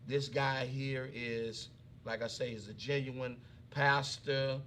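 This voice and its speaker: A man hosts a relaxed talk show in a folksy, chatty way, circling back and repeating himself. His voice is bright and forceful. A faint hiss sits under the recording. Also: mid-range voice, slow. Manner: folksy, repeats himself, calls audience y'all. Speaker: comedian. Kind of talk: casual chat.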